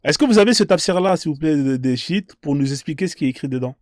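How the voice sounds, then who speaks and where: A man's voice: fairly high pitch, animated, quick pace, a little mellow, no room sound, clean studio sound. A man hosting a live online debate, polite but insistent, repeating his requests to callers.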